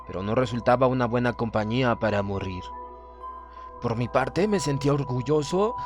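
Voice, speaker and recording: Solemn male narrator over clear music. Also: mid-range voice. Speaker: audiobook narrator. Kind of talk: dramatic scripted horror narration in first person.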